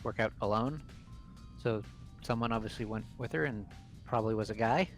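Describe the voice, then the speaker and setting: A man's voice: fairly low pitch, normal speed, faint music behind. A man casually theorising as a player in a group game playthrough.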